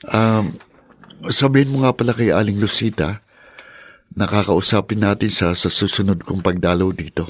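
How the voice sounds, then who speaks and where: An actor playing a devoted young boyfriend in a radio drama, soothing and earnest. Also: male voice, fairly low pitch, moderate pace, long pauses, slightly rough. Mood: reassuring. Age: young adult. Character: reassuring, devoted young boyfriend promising marriage. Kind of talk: Filipino radio drama acting.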